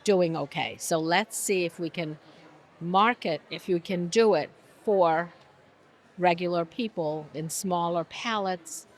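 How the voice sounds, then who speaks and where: An older woman from Romania with a somewhat low voice. She chats freely and warmly in conversation, laughing often and acting out quotes in little voices. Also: slow pace. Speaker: guest, business owner. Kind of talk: casual interview.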